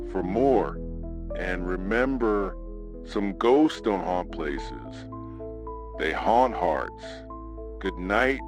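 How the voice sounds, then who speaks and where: A man hosting a scripted horror radio show, telling a ghost story in a dramatic, ominous way with weighted pauses. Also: low-pitched.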